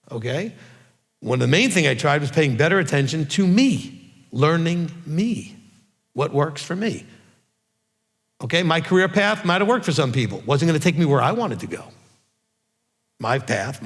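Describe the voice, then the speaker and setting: A man's voice: on the high side, big-room sound. A middle-aged man giving an informal Bible teaching, emphatic and often checking in with "okay?". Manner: emphatic, repeats "okay?" and "all right?".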